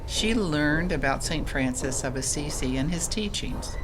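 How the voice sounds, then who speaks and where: A woman playing a gentle, wise mother who tells saints' stories in an audio drama, conversational and instructive. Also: sits low, expressive, unhurried, noisy background.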